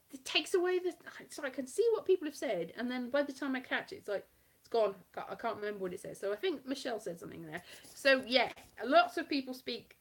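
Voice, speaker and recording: A Welsh woman with a light West Country-tinged accent, a comedian chatting casually on a live stream from her home kitchen in a rambling, self-mocking way. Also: on the high side. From South Wales. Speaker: comedian performing at a festival.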